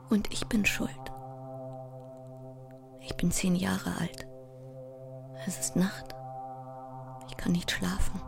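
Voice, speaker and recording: Low-voiced, overwrought sleepless actress. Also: female voice. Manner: intense, fragmented, often dropping into breathy whispers. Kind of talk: theatrical audio drama monologue.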